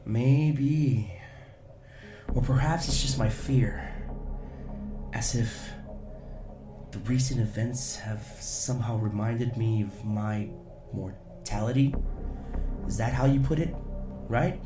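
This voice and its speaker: An actor playing a steady, determined security agent, businesslike and dryly pointed, in a science fiction audio drama. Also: male voice, medium pitch, takes it slowly, pauses often, distant mic, lightly acted. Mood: grimly determined. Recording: roomy echo, music underneath.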